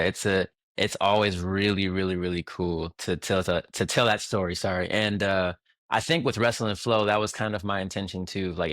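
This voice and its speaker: A man answering as a guest in an interview, relaxed, laughing easily and restarting his sentences. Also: low voice, no room sound, studio quality. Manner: relaxed, laughs often, many fillers and restarts. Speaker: guest music producer. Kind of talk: casual interview chat.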